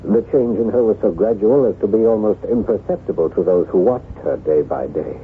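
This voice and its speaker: An actor playing an anguished, devoted nobleman husband, formal, grave and halting, in old-time radio drama style. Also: fairly low pitch, close to an everyday voice. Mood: sorrowful. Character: anguished, haunted nobleman husband devoted to his ailing wife. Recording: noisy, narrow-band vintage radio broadcast.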